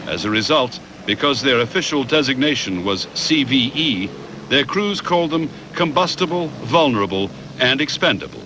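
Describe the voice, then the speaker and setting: A man reads scripted documentary narration in a measured, factual way. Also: mid-range voice, lively intonation, noisy background.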